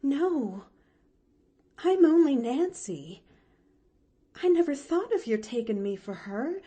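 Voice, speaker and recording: A woman's voice: high-pitched, sing-song, takes it slowly, warm tone, pitched above the performer's own voice, full character voice. Woman playing a flustered country hired girl. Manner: plainspoken, rural grammar, stammering.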